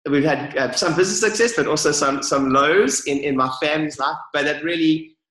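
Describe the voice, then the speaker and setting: A man's voice: on the high side, fairly quick, fairly bright tone, echoey room, rough recording. A man with a South African accent, talking as an enthusiastic, upbeat podcast guest. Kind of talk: casual interview.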